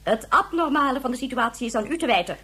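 An actress in a Dutch radio drama playing a stern, reproachful government leader, formal, cutting and authoritative, with a slightly husky voice. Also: female voice, high voice, expressive, quick pace, bright, forceful tone, exaggerated voice. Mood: stern, skeptical.

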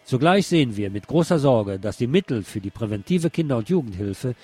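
Male news presenter, mid-pitched, scripted bulletin delivery, music running underneath. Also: animated, fairly quick, warm tone, slightly rough.

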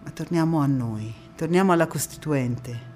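A woman's voice: deep voice, moderate pace, natural voice. Woman acting as young lady deputy in a stage monologue, firm and ironic, music playing behind. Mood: reflective, subdued. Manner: impassioned oratory alternating with quiet intimate narration. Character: young female Constituent Assembly deputy, firm, indignant, reflective, ironic. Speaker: stage actress. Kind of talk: theatrical monologue.

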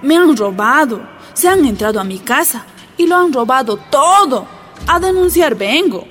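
A woman's voice: high voice, big pitch swings, normal speed, fairly bright tone, slight background noise. A timid young mother. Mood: fearful. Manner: hesitant, fearful.

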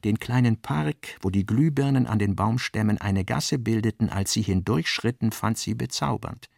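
Gravelly male narrator, dry studio sound. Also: lowish voice, moderate pace, darkish tone, no echo. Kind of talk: scripted literary narration.

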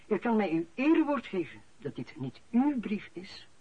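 An actor playing a shrewd, formal senior civil servant in a radio play, speaking in a measured, officious way. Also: male voice, sits high, very animated, husky, no echo, full character voice. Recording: dull, muffled radio play recording.

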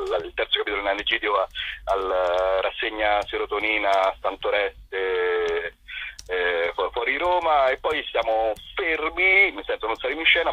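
A man chatting casually as an interview guest, a husky voice and a rambling, hesitant, jokey and self-deprecating manner. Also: on the low side. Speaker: interview guest, theatre company performer. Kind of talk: casual radio interview chat. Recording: dull, boxy, roomy phone-line sound.

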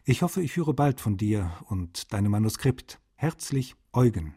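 An actor playing a fatherly, caring and gently nagging male translator, reading letters to a friend in a radio feature. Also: fairly low pitch, animated, measured pace, slightly rough, heavily acted. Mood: concerned, businesslike.

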